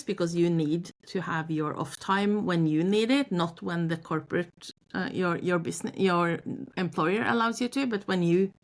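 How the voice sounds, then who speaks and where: A woman from Norway speaks English with a Norwegian accent in a slightly low voice. She tells her story in a loose, conversational way, often restarting and rephrasing, and grows animated when she quotes others. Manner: frequent restarts and self-corrections, animated when quoting. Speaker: guest, knitwear designer.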